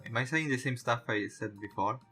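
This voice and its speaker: A man's voice: medium pitch, a little fast, sharp tone, faint music behind. A man hosting a let's-play of a game, talking casually and swearing now and then. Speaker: gaming YouTuber, let's-play host. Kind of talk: casual let's-play commentary with on-screen text read aloud.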